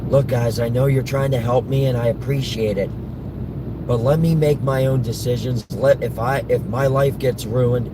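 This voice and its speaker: A man with a worn-out, hoarse voice chatting haltingly to his stream viewers while driving. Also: fairly even intonation. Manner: halting, restarts often, defensive. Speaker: live streamer answering chat. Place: in a moving car. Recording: loud car road noise. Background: road and engine rumble.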